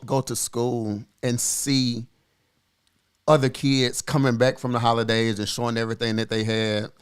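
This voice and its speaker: A man in his forties, a podcast co-host, telling a personal story in a reflective, earnest way with frequent pauses and fillers. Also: mid-range voice, smooth. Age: 40s.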